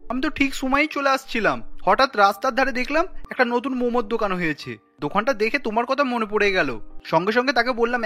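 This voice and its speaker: A man's voice: very high-pitched, sharp tone, heavily acted. A man voicing a worried young husband in a cartoon, earnest and pleading as he explains himself. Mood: placating. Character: worried, placating young husband, anxious around his wife. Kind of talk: cartoon story dialogue.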